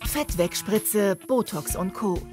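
A woman's voice: medium pitch, slow. A woman reading a TV trailer voice-over in a punchy, announcing manner. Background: background music.

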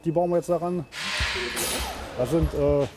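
A man working as a metalworker, quickly explaining the parts he is fitting to a machine. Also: on the high side, fairly even intonation, music in the background. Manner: quick practical shop-floor explaining. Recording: mic at a distance in a workshop.

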